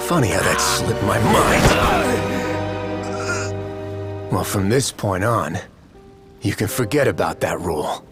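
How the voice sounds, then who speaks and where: An actor playing a stern, principled fortress duke in a video game, measured and coolly intimidating, over background music. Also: male voice, lowish voice, expressive, close to an everyday voice. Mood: menacing. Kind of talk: dramatic video game voice acting. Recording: game audio with music behind. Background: game soundtrack music.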